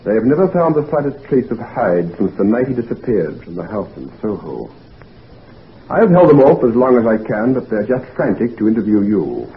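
An actor playing a concerned, frank physician friend, chummy and old-fashioned, in a 1940s radio drama. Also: male voice, on the low side, very animated, moderate pace. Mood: earnest. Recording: noisy, tinny 1940s radio recording.

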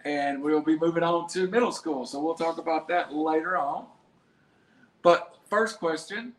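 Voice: medium pitch, unhurried. An adult host casually interviewing two children and prompting them with short, leading questions. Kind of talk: casual home-made show chat. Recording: roomy echo, faint background hiss.

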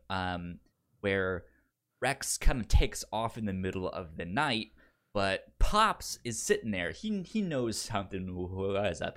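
A man's voice: fairly low pitch, fairly bright tone. A man hosting a podcast in casual film discussion, with a stutter that repeats sounds. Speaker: podcast host.

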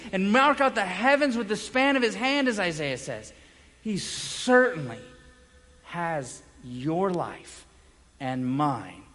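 A man preaching an evangelical sermon as a pastor, speaking in emphatic phrases broken by dramatic pauses. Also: fairly high pitch, fairly bright tone. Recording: echoey hall with long reverb.

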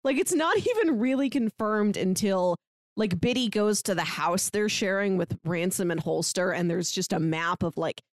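A woman's voice: mid-range voice, lively intonation, normal speed, no echo, studio quality. A woman telling a story informally in a casual podcast chat, often dropping in "like". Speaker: podcast speaker discussing books.

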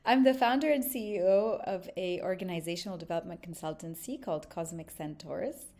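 A woman's voice: fairly low pitch, brisk, darkish tone. A woman from Lebanon, a podcast guest, speaking earnestly and reflectively with frequent ums. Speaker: podcast guest, consultancy founder. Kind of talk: conversational interview.

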